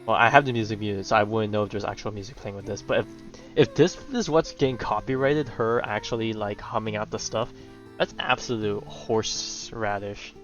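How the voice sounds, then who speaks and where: A man's voice: fairly low pitch, normal speed, fairly bright tone, dull audio, soft background music. A man giving casual gameplay commentary in a rambling, wry way as a gaming streamer.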